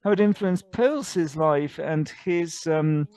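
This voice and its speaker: A man interpreting an online lecture into English as it is given, halting with frequent fillers and uneven bursts of speed. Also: fairly high pitch, a little mellow.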